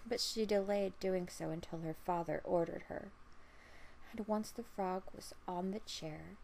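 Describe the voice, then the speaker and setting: A woman's voice: lowish voice, a little slow, vocal fry, a little mellow, a little hiss or hum, rough recording. A woman storyteller reading a fairy tale aloud as narration.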